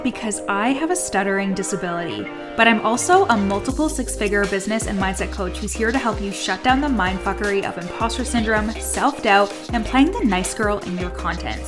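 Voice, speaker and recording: A woman reading her podcast's scripted intro in a bold, confident, profane way. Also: medium pitch. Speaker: podcast host.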